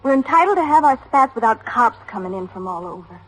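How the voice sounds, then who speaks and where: An actress playing a defiant, sassy suspect's wife in an old-time radio detective drama, talking tough, slangy and impatient. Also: female voice, on the high side, expressive, fast, fairly bright tone, rough recording. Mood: defensive.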